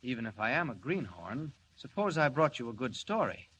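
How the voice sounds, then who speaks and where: A mild-mannered young man in a 1940s radio serial. He speaks softly and politely, with a bright tone and quiet persistence. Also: medium pitch, very animated, fairly quick, breathy edge, low-quality recording. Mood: polite, persistent. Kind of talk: 1940s radio drama acting. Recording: vintage 1940s radio recording.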